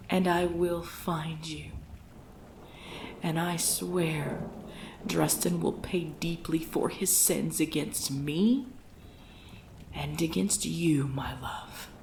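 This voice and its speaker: A woman voicing a commanding female vampire clan leader, possessive and tender, in a dark, solemn ASMR roleplay, often dropping into a whisper. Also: fairly low pitch, vocal fry, noisy background, heavily acted.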